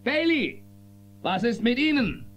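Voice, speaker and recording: A man's voice: very high voice, sing-song, takes it slowly, a bit bright, full character voice. A man playing a resolute, earnest space-western marshal with a commanding manner in a children's radio play. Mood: rallying. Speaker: actor in audio drama. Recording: dull lo-fi cassette, music behind.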